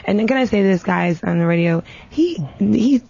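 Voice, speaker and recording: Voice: medium pitch, expressive, moderate pace, vocal fry, darkish tone, husky, muffled recording. A radio guest in a casual debate, speaking earnestly and a little hesitantly while arguing a point. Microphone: studio radio microphone.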